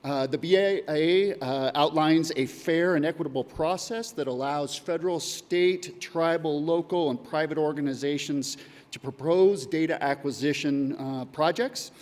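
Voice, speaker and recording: A man's voice: on the high side, moderate pace, darkish tone, big-room sound. A man giving a prepared conference presentation as a coordinator, reading steadily with occasional fillers. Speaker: data acquisition coordinator. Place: conference hall.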